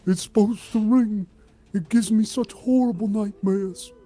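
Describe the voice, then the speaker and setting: A man's voice: high voice, measured pace, warm tone, pitched above the performer's own voice. A man voicing a distraught, bewildered creature guard in a tabletop game, stammering and aggrieved. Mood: distressed, pleading.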